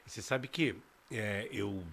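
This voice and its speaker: A man hosting a casual interview, chatting with lots of agreement and lively intonation. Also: fairly low pitch, slow, gravelly, a little hiss or hum. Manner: lively, frequent backchannel agreement. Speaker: host.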